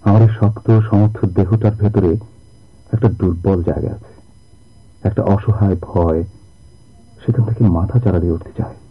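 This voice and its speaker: A low male voice gives us a jealous, earnest young sailor. The delivery is poetic and theatrical, in the manner of radio drama. The sound is narrow and tinny, like an old broadcast recording. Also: steady tone, normal speed, long pauses, faint music behind. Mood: resolute. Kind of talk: Bengali radio drama acting.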